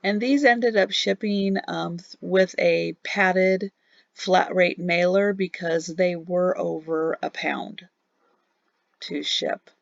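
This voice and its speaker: A woman reselling online, narrating a relaxed screen-recorded recap of what sold, halting with many ums and a tiring voice. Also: lowish voice, creaky, dry recording, dull audio. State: losing her voice. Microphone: voice-over on a screen recording.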